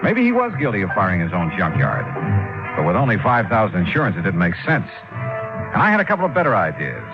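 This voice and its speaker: An actor playing a shrewd, hard-boiled insurance investigator with a clipped, wry, probing manner, in vintage radio detective style. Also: male voice, fairly low pitch, wildly swooping pitch, fairly quick, over music. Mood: brisk, wry. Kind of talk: 1950s-60s radio detective acting. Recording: muffled vintage radio recording.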